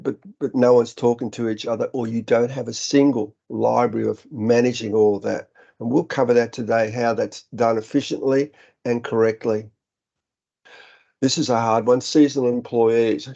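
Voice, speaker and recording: Australian man, middle-aged, mellow tone, earnest webinar presenter, muffled call audio. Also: mid-range voice. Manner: earnest, explanatory, with frequent fillers and restarts. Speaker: business director presenting a webinar. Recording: dull, slightly lo-fi webinar audio.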